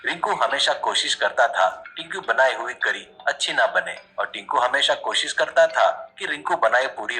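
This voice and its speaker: A male storyteller delivers scripted cartoon narration in a very bright, sharp voice. His pitch sits in the middle range, and he retells events like a storybook. Light music plays underneath. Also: low-quality recording.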